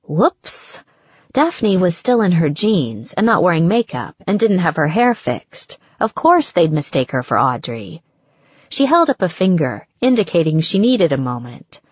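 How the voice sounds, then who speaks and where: A woman with a fairly low, husky voice reads scripted fiction. Her storytelling is expressive and measured, and the recording sounds slightly muffled and roomy. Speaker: audiobook narrator. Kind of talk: scripted romance fiction narration.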